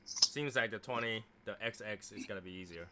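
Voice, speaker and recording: A man's voice: fairly low pitch, a little fast, noisy background. A man hosting a casual livestream chat, rambling and teasing his guests with a self-mocking humor. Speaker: livestream host.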